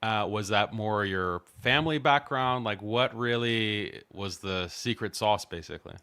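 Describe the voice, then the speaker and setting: A male podcast host with a bright, ringing tone and a smooth, clear voice. He speaks in measured, deliberate phrases, sprinkling in religious greetings as he introduces his guest and steers the conversation. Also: on the low side. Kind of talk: podcast interview over video call.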